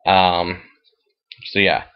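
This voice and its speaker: A man with an American accent and a fairly low voice talks through something on his computer screen. He explains things informally and matter-of-factly. The recording is a bit dull and lo-fi. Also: level tone, drawn-out words, smooth. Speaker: fantasy football league commissioner. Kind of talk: casual screen-share walkthrough.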